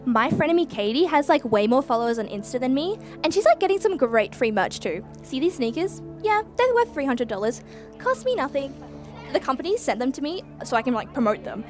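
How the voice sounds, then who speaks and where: A naive, breezy young woman reporter. Her voice is very high and chatty. It is radio drama, with light music underneath. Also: very animated, brisk. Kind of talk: radio play acting.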